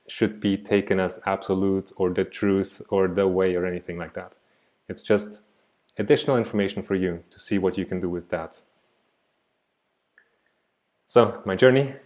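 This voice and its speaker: A man in his thirties from Switzerland, teaching an online art class in a reflective, digressive way over a laptop mic. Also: deep voice. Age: 30s. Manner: reflective, digressive, soft-spoken. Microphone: laptop mic on a video call.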